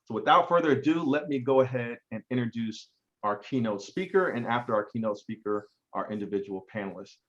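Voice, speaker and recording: A man's voice: mid-range voice, lively intonation, brisk, a bit bright, highs cut off. A man hosting an online hiring event, talking in a casual, conversational way with frequent fillers. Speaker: event host.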